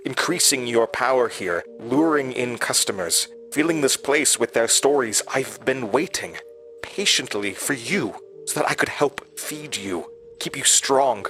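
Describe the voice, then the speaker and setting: An actor playing an uncanny, eagerly servile hotel manager who speaks in a formal, deferential, butler-like way in a horror audio drama. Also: male voice, mid-range voice, expressive, unhurried, fairly bright tone, rough recording. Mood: pleading, proud.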